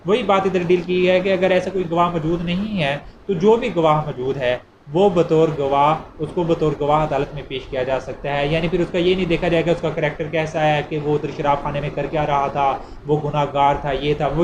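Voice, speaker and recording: A man's voice: on the high side, fairly even intonation, moderate pace, few pauses. A man with a Pakistani accent giving an informal explanatory law lecture in a didactic way, repeating points as he goes. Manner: didactic, repeats points, poses and answers questions. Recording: clearly noisy amateur recording.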